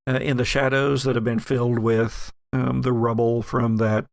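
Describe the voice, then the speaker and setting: A man runs a tabletop horror game as its narrator. His voice is mid-pitched and creaky, and he feels his way haltingly through descriptions in a dry recording. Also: little pitch movement, dry recording. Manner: halting, descriptive, self-correcting. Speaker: game master.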